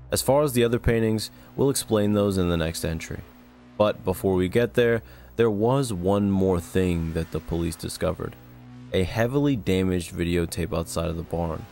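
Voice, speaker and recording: A man narrating a scripted video essay in a measured way with wry asides, as the host of an analysis channel. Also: sits low, a little mellow.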